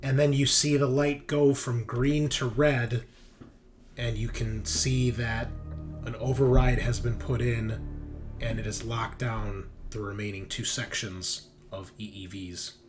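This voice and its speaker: A man's voice: medium pitch, flat delivery, a little slow. A man running a tabletop roleplaying game, narrating and making rulings in a casual, conversational way. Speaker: game master. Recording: music playing behind.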